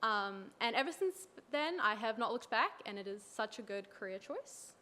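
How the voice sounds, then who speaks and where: Young woman student presenter, a touch high, conversational. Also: expressive, normal speed, sharp tone. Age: young adult. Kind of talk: presenting to an audience.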